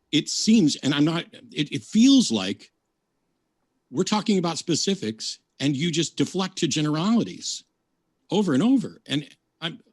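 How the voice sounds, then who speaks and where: A man debating online, talking in halting bursts with frequent restarts while pushing back. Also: fairly high pitch, husky, dry recording. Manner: halting, restarts, frustrated pushback.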